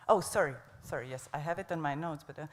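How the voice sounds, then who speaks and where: A man's voice: a little high, big-room sound. A man moderating a conference, passing the floor to speakers and moving the program along informally. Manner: brisk, informal, uses fillers. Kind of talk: moderating a forum. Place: conference hall on an island.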